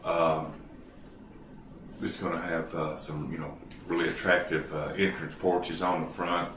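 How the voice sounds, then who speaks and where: A man with a low voice speaks in a halting way, with an "uh" before almost every phrase. He sounds distant in a roomy space, with light noise behind him. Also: level tone. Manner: halting, many fillers. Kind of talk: interview answers.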